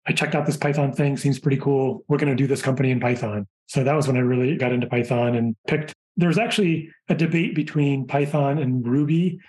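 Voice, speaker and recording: A man in his forties, a software engineer guest on a podcast, telling chatty anecdotes in a relaxed way. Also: medium pitch, fairly quick, hardly any pauses. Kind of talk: casual conversational interview.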